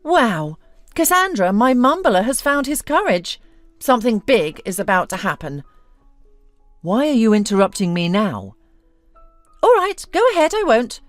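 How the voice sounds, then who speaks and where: Woman acting a haughty, dramatic businesswoman wife, wildly animated. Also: mid-range voice, measured pace, no room sound, soft background music. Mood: mocking. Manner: mocking, theatrical, exclamatory.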